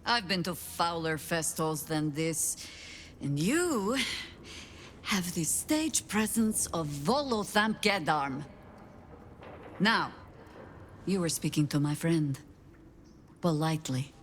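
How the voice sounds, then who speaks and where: Older lady spymaster from a fantasy game, wry, measured, lowish pitch, bit of background noise. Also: expressive. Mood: dry menace. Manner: measured, dry, commanding. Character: seasoned older woman Harper spymaster, wry and proud. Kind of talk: dramatic game dialogue.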